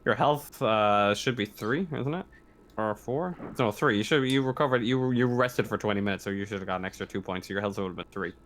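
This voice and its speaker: Halting male game master, noisy recording. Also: on the low side, fairly bright tone. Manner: halting, repetitive. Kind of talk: improvised tabletop roleplaying narration and rules talk.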